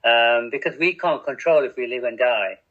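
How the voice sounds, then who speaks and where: A man's voice: medium pitch, normal speed, fairly bright tone. A middle-aged man from England, speaking openly and reflectively as a podcast guest, often restarting his sentences and laughing at himself. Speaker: podcast guest, radio community editor. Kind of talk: candid conversational interview. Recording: tinny phone-like line.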